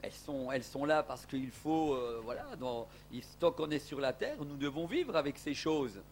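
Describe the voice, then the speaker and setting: A man's voice: mid-range voice, expressive, normal speed. Emphatic preaching man, faint hum. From Portugal. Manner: emphatic preaching with rising exclamations. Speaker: evangelist. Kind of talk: sermon. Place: church.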